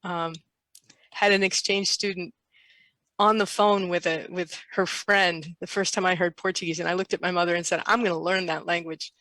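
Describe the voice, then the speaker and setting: An older woman, a guest, telling a lively personal anecdote and quoting herself along the way. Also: lowish voice, moderate pace, dry recording. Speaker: interview guest. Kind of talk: casual storytelling.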